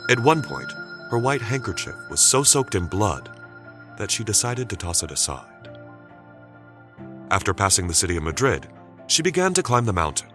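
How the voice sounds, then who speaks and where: A man narrating a scripted story dramatically, with suspenseful, deliberate phrasing. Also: fairly low pitch, big pitch swings, over music.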